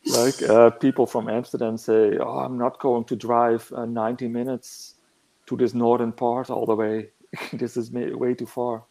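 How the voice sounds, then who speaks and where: Slow, gravelly man. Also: mid-range voice, flat delivery, warm tone, rough recording. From northern Netherlands. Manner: halting, searching for words. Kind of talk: reflective interview answers.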